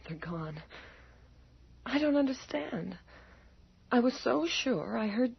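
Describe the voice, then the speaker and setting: An actress playing a fragile, haunted young wife, anxious, halting and apologetic, in an old-time radio drama. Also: female voice, fairly high pitch, big pitch swings, gravelly, breathy edge, no echo, exaggerated voice. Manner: halting, distressed. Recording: muffled, noisy old radio recording.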